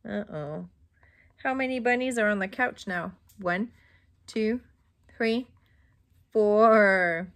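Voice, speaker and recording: A middle-aged woman reading a picture book aloud to young children in an exaggerated, interactive way, with questions and pauses. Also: mid-range voice, fairly bright tone. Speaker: children's storybook reader. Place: small indoor room at home.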